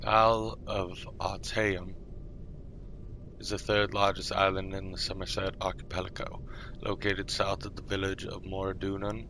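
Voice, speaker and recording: A man reading fantasy lore aloud in a measured, formal manner, correcting himself politely, as part of a roleplay. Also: sits low, pushed and bright, slight background noise, poor audio. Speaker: live roleplay streamer.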